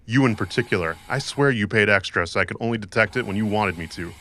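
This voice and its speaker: An actor playing a bitter, tough cyberpunk mercenary and mentor in an audio drama, wry and goading. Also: male voice, fairly low pitch, fast, sharp tone.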